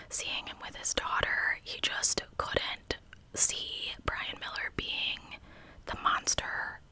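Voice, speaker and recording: Whispering woman, bright and crisp ASMR storyteller. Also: poor audio. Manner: informal, conversational narration with casual asides. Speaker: true crime ASMR host.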